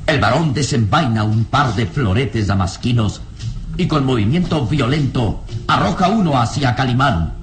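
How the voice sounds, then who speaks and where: A man's voice: mid-range voice, expressive, normal speed. A man narrating a radio serial in a dramatic, solemn, suspenseful scripted style.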